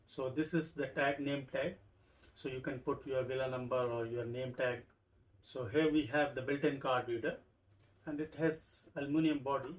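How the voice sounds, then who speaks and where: A man's voice: mid-range voice, rough recording. A man hosting a tech review, explaining an unboxing step by step in simple, repetitive phrases. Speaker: tech review channel host.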